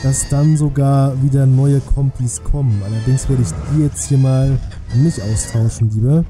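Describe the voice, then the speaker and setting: A man's voice: medium pitch, fairly even intonation, moderate pace, dark tone. Casual male game streamer over music. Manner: colloquial, thinking aloud. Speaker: live streamer hosting a gaming stream. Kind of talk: casual live game commentary with chat replies. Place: small indoor home streaming room. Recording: music behind the voice.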